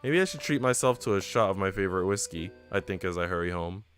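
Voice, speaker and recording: An earnest, self-doubting young woman tells her own visual novel story in a man's fairly low voice. The reading is reflective and storybook-like, with faint music underneath. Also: lively intonation, quick pace, fairly bright tone. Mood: self-critical, uneasy. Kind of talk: visual novel narration read aloud. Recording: music behind the voice.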